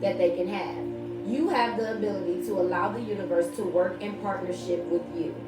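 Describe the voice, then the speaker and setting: A woman giving a casual daily tarot reading in a chatty, spiritual way, speaking straight to her viewers, her voice a little raspy and scratchy today. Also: mid-range voice, normal speed, distant mic. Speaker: tarot reader. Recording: very echoey room, voice off-mic, loud music behind.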